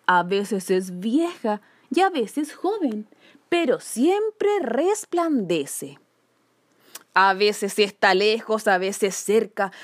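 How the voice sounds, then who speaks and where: Woman playing a high, earnest little girl. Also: no room sound, heavily acted. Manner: polite, plaintive, earnest. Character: earnest, brave, wondering little girl searching sadly. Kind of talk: children's storybook character voice.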